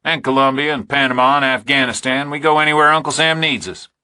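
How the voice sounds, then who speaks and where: A man's voice: mid-range voice, quick pace, bright, forceful tone, clear, resonant tone, dry recording, pitched above the performer's own voice. A man voicing a menacing ex-military contractor in an audiobook thriller, with combative, clipped tough talk. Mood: proud, forceful. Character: hard, menacing male military contractor, ex-soldier.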